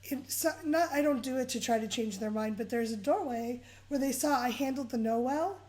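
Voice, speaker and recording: Raspy lady just over a cold, kinda high, easygoing co-host chatting about dating. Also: normal speed. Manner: relaxed, conversational, laughs easily. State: hoarse, getting over a cold. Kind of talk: casual advice chat.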